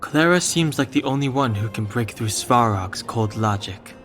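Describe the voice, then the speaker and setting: A medium-pitched young man's voice acted by a man for a serious, steady warrior in a video game. Music plays clearly behind him. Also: moderate pace, natural voice. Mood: thoughtful. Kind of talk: video game cutscene acting.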